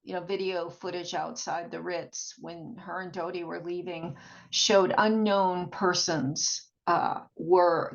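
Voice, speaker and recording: Muffled lo-fi recording, woman vlogger, heavy vocal fry, casual rambling monologue, halting and repetitive. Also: on the low side. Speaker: video blogger. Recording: dull, muffled lo-fi sound.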